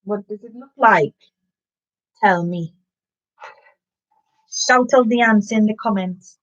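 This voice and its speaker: A woman's voice: medium pitch, slow, dull audio. A woman from Trinidad doing a children's story time, reading expressively and talking casually straight to her young listeners.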